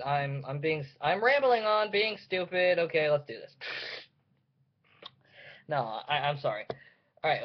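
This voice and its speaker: A young man chatting casually as a reaction video host, hesitant and self-deprecating, often breaking off mid-sentence. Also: fairly high pitch. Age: young adult. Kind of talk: casual, rambling reaction chat. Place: indoors in a room at home. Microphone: webcam or computer mic. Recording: dull lo-fi webcam audio.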